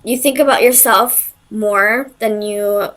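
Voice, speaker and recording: A woman's voice: mid-range voice, steady tone, takes it slowly, a bit bright. A woman giving a casual, conversational tarot reading, hesitant as she thinks aloud and searches for her words. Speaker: tarot reader.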